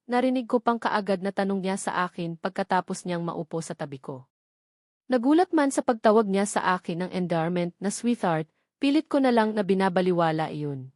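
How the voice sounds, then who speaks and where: A woman's voice: medium pitch, a little mellow, no echo, clean studio sound. A woman reading a scripted first-person novel as a story narrator. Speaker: audiobook narrator.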